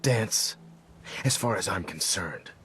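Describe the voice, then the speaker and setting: Zealous commander with sweeping intonation. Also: male voice, deep voice, animated, slow, a bit bright, exaggerated voice. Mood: cold, grudging. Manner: oratorical, contemptuous, emphatic. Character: zealous military commander, stern, unforgiving and grandiose. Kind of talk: dramatic video game acting. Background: cinematic music.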